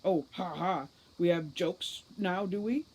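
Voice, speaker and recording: A woman's voice: on the low side, measured pace, darkish tone. Woman putting on an impatient, barking fairy commander. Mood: sour sarcasm. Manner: barking, gruff, irritable.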